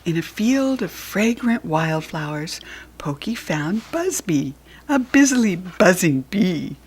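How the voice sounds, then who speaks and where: A woman with an American accent narrates a children's storybook. Her pitch moves in big, lively swings, and her voice has a slightly creaky, husky edge. She stresses words deliberately and expressively. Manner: animated, deliberate storytelling emphasis.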